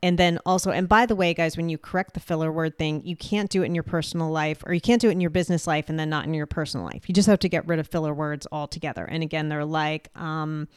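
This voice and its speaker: Booming, clear-voiced woman podcaster. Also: fairly even intonation, fast talker, hardly any pauses, dry recording, studio quality. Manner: direct, speaks clearly with authority. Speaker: podcast host. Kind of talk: solo podcast talk.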